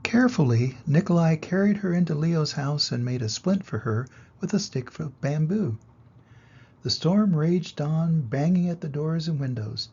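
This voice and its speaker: Creaky-voiced older man reading a storybook. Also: fairly high pitch, expressive, moderate pace, a little mellow, dull audio. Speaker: grandfather reading stories aloud. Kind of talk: expressive children's storybook narration.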